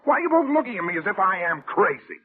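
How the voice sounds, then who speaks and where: Agitated young man, high husky voice, intense, earnest, vintage radio drama, tinny recording. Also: big pitch swings, fast talker, faint music behind, exaggerated voice. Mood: agitated, pleading. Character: agitated young man insisting his impossible story. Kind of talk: mid-century radio drama acting. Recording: tinny narrow-band old radio.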